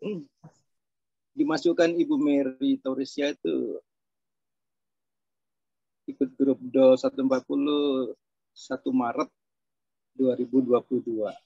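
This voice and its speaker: A man's voice: medium pitch, fairly even intonation, slow pace, creaky, pauses often, mellow, no echo. A man from Surabaya giving a candid, chatty testimonial over a video call, with a self-deprecating manner. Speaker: program participant giving a testimonial. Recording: dry, dull lo-fi call.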